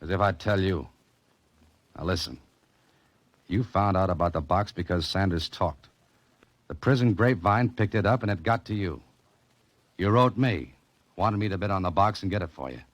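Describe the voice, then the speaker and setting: An actor playing a cool, wry, tough mystery novelist turned sleuth in a 1940s radio drama. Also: male voice, deep voice, normal speed, long pauses, no room sound. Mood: taunting. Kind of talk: 1940s radio drama acting with first-person narration. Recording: 1940s radio recording with music behind.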